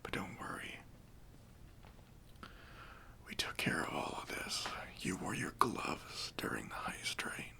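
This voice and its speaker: A man playing a kindly, reassuring bank robber in a soft, breathy ASMR roleplay, speaking gently and casually to an accomplice. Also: low voice, sing-song, slow pace, long pauses, exaggerated voice. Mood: soothing, reassuring. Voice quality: breathy, near-whispered.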